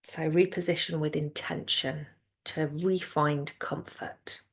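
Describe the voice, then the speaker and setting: Female yoga nidra guide, very slow and soothing, with some creak in the voice. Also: low voice, fairly even intonation. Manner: soothing, unhurried, gentle instructions. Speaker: yoga nidra teacher guiding a class. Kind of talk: guided relaxation meditation.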